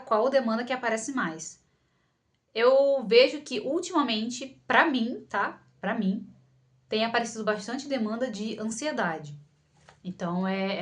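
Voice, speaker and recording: A woman's voice: medium pitch, fairly bright tone. Young Carioca woman chatting casually. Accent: Carioca Brazilian Portuguese. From Rio de Janeiro, Brazil. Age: young adult. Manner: informal, conversational, frequently addresses listeners with check-in tags. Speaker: psychologist answering followers' questions. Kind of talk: casual talk to camera, Q&A.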